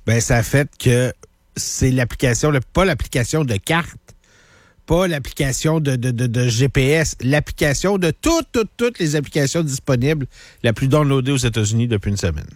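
Male radio co-host, Quebec French accent, mid pitch, casual and colloquial, repetitive build-up, very dry recording. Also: animated, moderate pace, no room sound. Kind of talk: casual current affairs chat.

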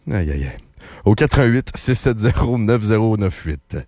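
A male Québécois radio host chats into a studio microphone, teasing and breaking into laughter. His voice sits low but swings widely in pitch, and the sound is close and dry. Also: big pitch swings, unhurried, mellow, no room sound. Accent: Québécois French. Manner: joking, laughs often, teasing. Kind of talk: late-night call-in radio chat.

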